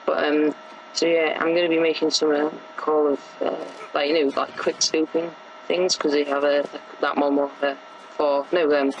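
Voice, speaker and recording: Teen boy, sore gravelly throat, flat rambling game commentary, faint hiss behind. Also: fairly high pitch, poor audio. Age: teens. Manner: rambling, many fillers and restarts. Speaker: gameplay commentator. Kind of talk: casual gameplay commentary.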